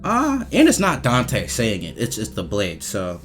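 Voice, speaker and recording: Very animated man in casual commentary. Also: lowish voice, faint music behind. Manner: repetitive, halting, slangy and profane. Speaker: video creator reviewing game voice lines.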